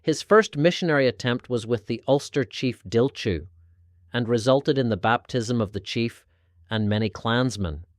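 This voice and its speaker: A man narrating a scripted historical religious article in a formal, measured, oratorical way. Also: medium pitch, no room sound, studio quality. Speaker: narrator reading an article aloud.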